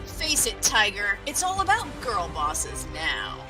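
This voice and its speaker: A woman's voice: mid-range voice, wildly swooping pitch, slow, over music, pitched below the performer's own voice. A woman voicing a defiant, mocking heroine in an emphatic cartoon table read. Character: defiant young heroine mocking a fading star.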